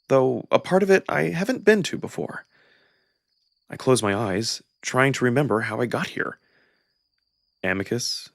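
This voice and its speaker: A man performs dramatic first-person narration for a visual novel. His medium-pitched voice moves in measured, expressive phrases, and the sound is dry with very little room on it. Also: gravelly, no echo.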